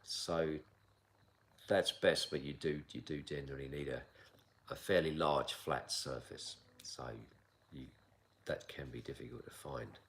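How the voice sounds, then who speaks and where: A man of around sixty from England, accent softened by years in Asia, answering viewer questions in a calm, measured live chat as a kung fu teacher. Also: a bass voice, a little hiss or hum. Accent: English accent softened by long years in Asia. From south London, England. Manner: calm, measured, unhurried with frequent pauses. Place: outdoor mountain training area.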